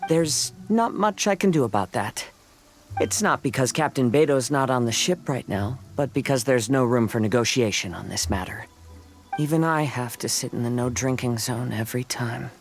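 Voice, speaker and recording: A man's voice: medium pitch, moderate pace, natural voice. A man as a composed, courteous young sailor. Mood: politely firm. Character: calm, courteous young male sailor, gently firm. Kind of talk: video game voice acting. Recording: music under the dialogue.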